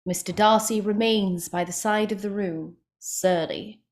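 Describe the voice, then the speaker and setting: A woman's voice: mid-range voice, fairly even intonation, measured pace, a little mellow. A woman reading stage directions as scripted dramatic narration.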